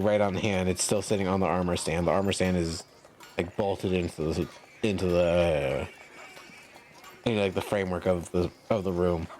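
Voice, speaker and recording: Male game master, lower register, halting and informal, improvising scene description at the table. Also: darkish tone.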